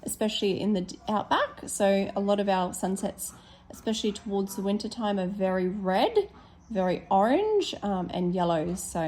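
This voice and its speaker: An Australian woman, a teacher with a slightly hoarse voice, chatting casually with lots of ums as she shows her colouring pages. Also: mid-range voice, darkish tone, a little hiss or hum. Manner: chatty, many ums, corrects herself. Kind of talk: casual flip-through chat.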